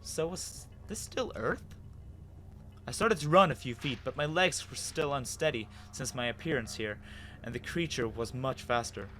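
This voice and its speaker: A man plays a lost man with no memory, anxious and bewildered. He tells his own story as a dramatic reading, with lively swings of pitch and expressive pauses. Soft music runs underneath. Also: lightly acted. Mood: puzzled, unsettled. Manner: dramatic, expressive first-person telling with pauses. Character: confused lost amnesiac man recounting his wandering, anxious. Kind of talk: dramatic reading of fan fiction.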